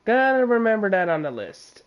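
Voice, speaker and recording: A man livestreaming a game with casual, somewhat halting commentary. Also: sits high, sing-song, phone-quality sound. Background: game music.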